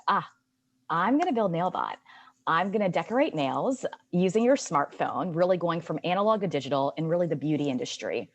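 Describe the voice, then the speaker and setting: A woman in her thirties with a low voice, speaking as a founder on a webinar panel. She talks in long, earnest, connected sentences over a video call.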